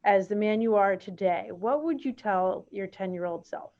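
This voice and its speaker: A woman's voice: medium pitch, moderate pace, a little mellow. A woman hosting an interview podcast, asking questions in an encouraging way with some fillers. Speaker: podcast host. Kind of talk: interviewing a guest. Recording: muffled lo-fi audio.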